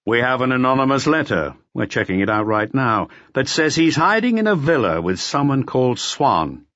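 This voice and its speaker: Guy doing a stern cop grilling a suspect, animated delivery, pushy and blunt, audiobook, dry sound. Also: medium pitch, moderate pace, no echo, lo-fi sound, voice pushed up. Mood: probing, firm. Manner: pressing, blunt questioning. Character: stern, persistent male police detective interrogating a suspect. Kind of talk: audiobook character voice.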